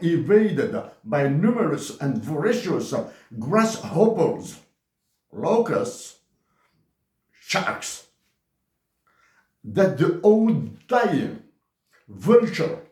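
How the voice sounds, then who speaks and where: Algerian man reading an open letter, slow and deliberate, lively pitch, roomy echo. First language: Tamazight. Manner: slow, emphatic delivery with word restarts. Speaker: commentator. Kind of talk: read-aloud open letter.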